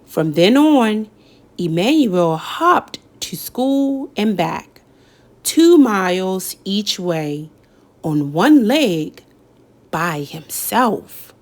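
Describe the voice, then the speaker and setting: A young woman reads a children's picture book aloud, taking her time and pausing for effect. Her voice rises and falls widely as she tells the story. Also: medium pitch. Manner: slow, lively storytelling with dramatic pauses. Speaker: read-aloud narrator.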